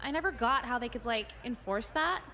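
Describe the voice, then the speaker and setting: Jaded, high-voiced sarcastic teen girl. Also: brisk. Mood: weary, wry. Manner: deadpan, caustic, foul-mouthed. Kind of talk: visual novel game voice acting.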